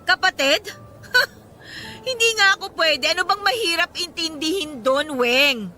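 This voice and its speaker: A wronged single mother in a Filipino radio drama. Her voice is high and piercingly bright, swinging widely as she fires back with bitter sarcasm. Music plays underneath. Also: measured pace, heavily acted. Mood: hurt, angry. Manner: sarcastic, confrontational.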